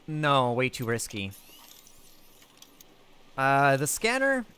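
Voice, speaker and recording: A man voicing his character in a survival game roleplay, excitably thinking aloud to himself. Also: mid-range voice, slow, smooth.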